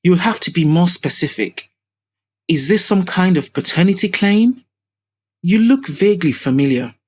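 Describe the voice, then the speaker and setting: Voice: mid-range voice, animated, moderate pace, a little mellow, slightly rough, voice pushed up. Woman acting haughty, sinister older man. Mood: curt, suspicious. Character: sinister older wealthy man, evasive, indignant, wistful.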